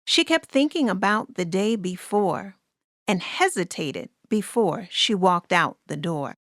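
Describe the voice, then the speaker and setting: Female storybook narrator, slow and expressive, deliberate rhyming read, dry close sound. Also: mid-range voice, no echo. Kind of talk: scripted children's story narration.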